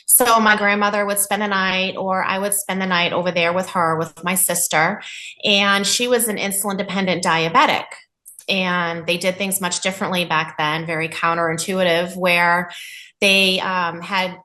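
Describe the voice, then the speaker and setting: Bright-voiced woman, earnest and loud. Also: on the low side, little pitch movement, moderate pace. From New England. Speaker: nurse, podcast guest. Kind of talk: telling her life story.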